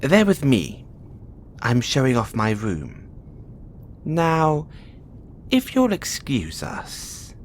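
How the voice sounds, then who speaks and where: A man's voice: medium pitch, huge pitch swings, extremely slow, pauses often, slight background noise, exaggerated voice. A man voicing a playful, flirtatious male space demon who teases the listener in an audio roleplay. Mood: flirtatious, cocky. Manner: playful, teasing, giggles often.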